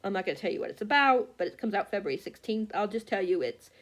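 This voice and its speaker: A woman talks as a book vlogger in a casual, rambling way, at a middling pitch. She is halting and scattered, often doubling back to correct herself. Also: animated. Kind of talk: casual rambling chat about books.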